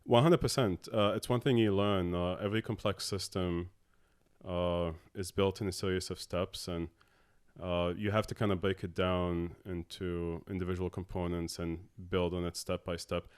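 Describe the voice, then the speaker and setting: Man in his thirties, deep voice, clear and resonant, hesitant podcast guest. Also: little pitch movement. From Abu Dhabi. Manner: halting, many filler uhs. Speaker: podcast guest, tech co-founder. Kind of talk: casual interview talk.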